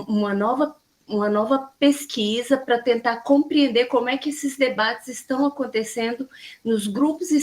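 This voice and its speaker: A Brazilian woman anthropology professor introducing herself on a video call, measured and full of pauses. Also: on the high side. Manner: slow, measured, many hesitations.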